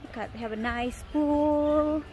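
A woman's voice: high-pitched, a little slow, darkish tone, soft background music, rough recording. A woman chatting on a resort walking tour, exclaiming often, recorded outdoors on a handheld camera. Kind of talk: casual chat with her husband.

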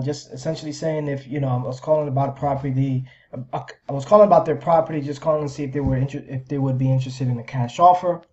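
A man in his thirties talking through a screen-share explainer casually, in a halting, repetitive way as if thinking aloud. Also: medium pitch, warm tone. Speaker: video host sharing a business plan. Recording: slightly dull, lo-fi microphone sound.

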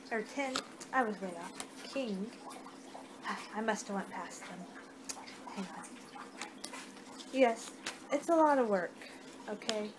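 A young woman doing an improvised ASMR roleplay, muttering in an unhurried, distracted way on a noisy amateur home recording. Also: medium pitch. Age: young adult. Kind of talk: ASMR roleplay, improvised reading of fortune cards. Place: small home room, indoors. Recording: noisy amateur webcam-style room recording.

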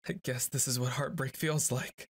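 A man's voice: mid-range voice, fairly even intonation, moderate pace, noisy background. A man performing a boyfriend ASMR roleplay, speaking to an unseen listener in a moody, dramatic way.

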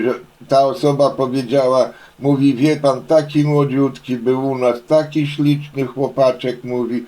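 A man's voice: medium pitch, dark tone, low-quality recording. An older man from Poland rambling casually and folksily as an amateur radio operator, often repeating himself with filler phrases. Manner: folksy, chatty, frequent filler phrases and repetitions. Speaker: amateur radio operator, hobby video maker.

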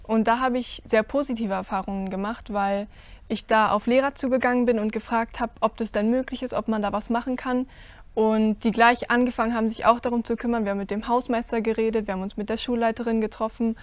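A teenage girl on a stage panel, answering earnestly as a cast member, with many pauses and fillers. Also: a little high, steady tone, no echo. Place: stage with curtain, indoors.

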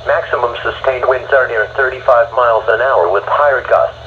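An American man's synthetic text-to-speech voice reading a weather bulletin in a flat, formal way. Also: mid-range voice, normal speed. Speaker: automated weather radio announcer. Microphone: phone held to a radio speaker. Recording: dull radio broadcast re-recorded by phone, faint noise.

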